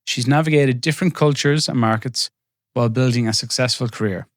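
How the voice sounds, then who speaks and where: A man hosting a finance podcast, reading a scripted introduction and then chatting with his guest in a friendly, conversational way with quick asides. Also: medium pitch, no echo, clean studio sound. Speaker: podcast host.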